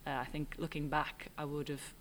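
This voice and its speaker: Low-voiced Irish woman, thoughtful. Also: flat delivery, a little hiss or hum. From Ireland. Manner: thoughtful, halting, with frequent ums and restarts. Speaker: writer and director, interview guest. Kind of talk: relaxed reflective interview answers.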